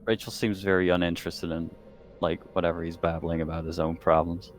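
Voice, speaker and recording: A man's voice: low-pitched, level tone, a little fast, soft background music. A man casually describing his character's actions as a player in a tabletop roleplaying game.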